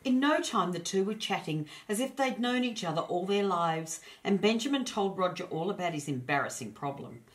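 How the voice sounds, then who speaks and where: A woman narrating a children's storybook as an expressive storyteller. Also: medium pitch, normal speed, few pauses.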